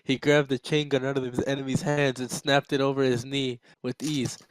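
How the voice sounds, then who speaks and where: A man's voice: medium pitch, little pitch movement, moderate pace, dry recording, low-quality recording. A man reading a story aloud informally, stumbling and amused. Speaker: amateur reader. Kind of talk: casual read-aloud of a story.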